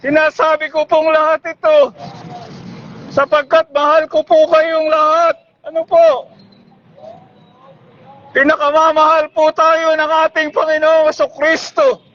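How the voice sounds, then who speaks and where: High-pitched Filipino man preaching, pleading. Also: completely flat delivery, unhurried, pauses often, highs cut off. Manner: pleading, shouting exhortation. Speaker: street preacher. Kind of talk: evangelistic public sermon. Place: busy public bus terminal.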